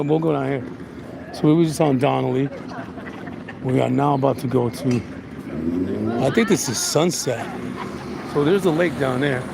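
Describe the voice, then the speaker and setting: A man with a hoarse voice walking through town and chatting casually about the street and the places around him as he films. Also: medium pitch, background noise. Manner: rambling, laid-back, chatty tour commentary. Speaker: vlogger. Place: outdoors on a busy town street. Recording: outdoor street recording with music. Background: music from restaurants.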